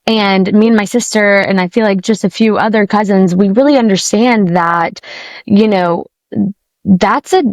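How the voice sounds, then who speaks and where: A young woman from Alabama, a guest in an interview, speaking thoughtfully and gently while searching for her words. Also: medium pitch, moderate pace, no echo. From Alabama, USA. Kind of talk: casual interview conversation.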